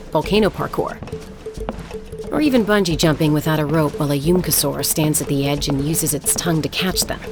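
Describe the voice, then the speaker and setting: An actress playing a cool, confident female peacekeeper in an anime game dub, composed and assured. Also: sits low. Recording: loud game music behind.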